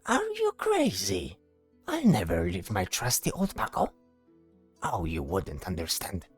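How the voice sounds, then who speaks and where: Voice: sits low, wildly swooping pitch, unhurried, slightly rough, no echo, faint music behind, exaggerated voice. An actor playing a proud, whiny male bard in a fantasy audio drama, complaining with theatrical indignation. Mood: whiny, grumbling.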